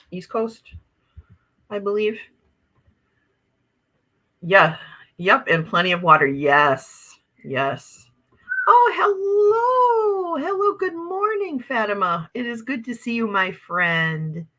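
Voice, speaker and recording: Woman, Upper Midwest accent, livestream host, bubbly, exclamatory, bright tone. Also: mid-range voice. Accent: Upper Midwest American. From Wisconsin, USA. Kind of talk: casual live-stream chat reading viewer comments.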